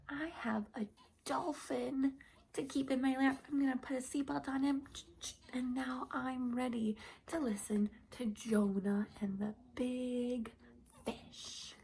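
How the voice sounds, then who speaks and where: A woman reading a Bible story aloud to young children, in simple repetitive phrases with questions to her listeners and some hushed, whispery delivery. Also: on the high side, vocal fry, husky.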